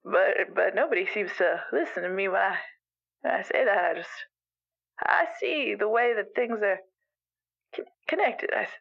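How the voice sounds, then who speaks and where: A woman playing an elderly, gentle animal weaver in an improvised radio call-in drama, rambling haltingly through folksy, wistful advice. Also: mid-range voice, lively intonation, gravelly. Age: older. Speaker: caller to a radio show. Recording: dull lo-fi radio call sound.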